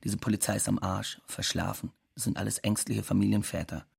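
An actor playing a blunt, scornful undocumented immigrant man who curses in a radio play monologue, with a gravelly voice. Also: on the low side, little pitch movement, fairly quick, background noise.

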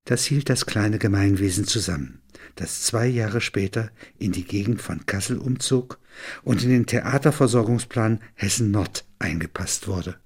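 A man narrating literary prose and reflecting on it in a measured, thoughtful manner. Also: lowish voice, husky.

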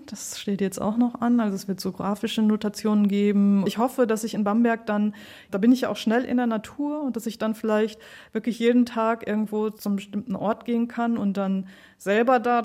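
A woman's voice: mid-range voice, mellow. A woman giving thoughtful, explanatory answers in a conversational interview, as an artist talking about her work. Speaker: interviewed sound artist and radio play maker.

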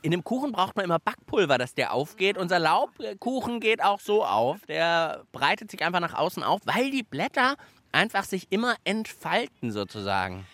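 A man's voice: sits high, big pitch swings, moderate pace, fairly bright tone, no echo. A middle-aged man, hosting a children's radio show outdoors in a park, chatting casually and thinking aloud with fillers. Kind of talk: casual on-location radio chat.

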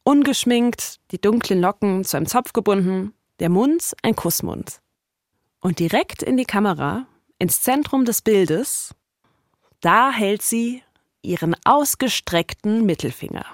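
A woman's voice: mid-range voice, animated, unhurried, no room sound, clean studio sound. A woman with a standard German accent delivering scripted narration as a podcast host.

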